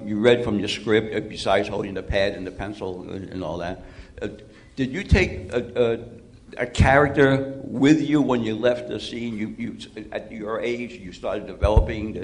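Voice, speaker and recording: A man's voice: on the low side, normal speed, a little hiss or hum. A man asking an informal question from the audience, hesitant and repeating his words as he goes. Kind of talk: halting, informal question from the floor.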